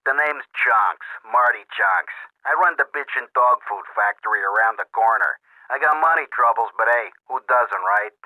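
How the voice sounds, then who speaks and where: A man's voice: medium pitch, moderate pace, pushed and bright, dry recording, poor audio. An actor playing a crude, resentful, shady factory owner in a video game cutscene, grumbling as he talks. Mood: sly, resentful.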